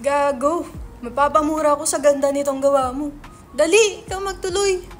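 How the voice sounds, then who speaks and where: A woman playing an excitable, theatrical young male writer who likes to tease, in a dramatic reading of a stage play. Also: high voice, moderate pace, slight background noise, close to an everyday voice. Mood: excited, teasing. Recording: background music under the voice.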